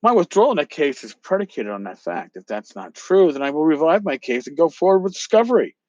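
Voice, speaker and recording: A man hosting a podcast, talking casually and reading aloud in an emphatic, theatrical, sometimes halting way, on a slightly lo-fi recording. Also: on the high side, very animated, a little mellow, no echo. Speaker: podcast host. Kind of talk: casual talk with dramatic reading of emails aloud.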